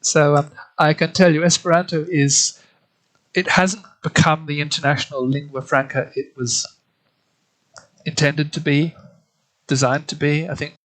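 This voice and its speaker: A man's voice: a little high, level tone, measured pace, a bit bright, poor audio. Hesitant Australian man, thoughtful translator. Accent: slight German influence on Australian English. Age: 50s. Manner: thoughtful, hesitant, many ums and restarts. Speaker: guest, literary translator. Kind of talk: interview answers.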